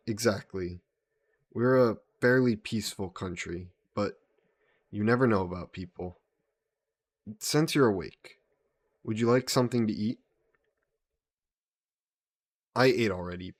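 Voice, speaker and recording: A courteous old-world vampire nobleman, acted by a man in an intimate audio drama. His voice sits on the low side, and he speaks in polite, formal phrases, gentle and modest with a touch of wistfulness. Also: natural voice. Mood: gentle, attentive. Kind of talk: scripted one-sided audio roleplay, intimate and conversational.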